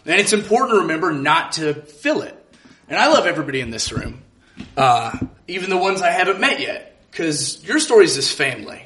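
A man's voice: on the high side, sing-song, a little fast, sharp tone. A man telling a personal story live to a room, speaking in an earnest, reflective way. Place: live event in a room with an audience.

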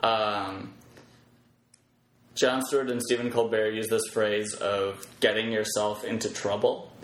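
A man talking casually as a podcast guest, explaining his web development work in measured, halting phrases. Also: on the low side, level tone. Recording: roomy echo, faint background noise.

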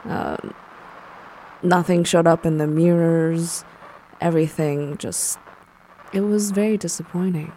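A woman playing a blunt, deadpan lover of spooky things in an audio drama, speaking haltingly. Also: fairly low pitch, slight background noise, natural voice, low-quality recording. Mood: deadpan, disappointed. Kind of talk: naturalistic audio drama storytelling.

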